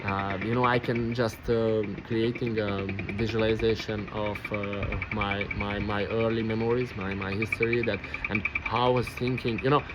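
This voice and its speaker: Halting Hungarian-accented man musing aloud. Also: on the low side, flat delivery, dull audio. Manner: halting, searching for words, many restarts. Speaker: vlogger. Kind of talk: rambling reflective monologue. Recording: noisy background with passing vehicles.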